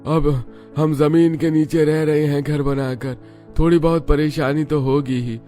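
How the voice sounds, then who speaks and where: A man's voice: a little high, fairly even intonation, measured pace, mellow, soft background music, voice pushed up, natural voice. A man voicing a caring older father-in-law in a cartoon story, speaking with concern and reassurance. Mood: reassuring. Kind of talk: Hindi cartoon story dialogue.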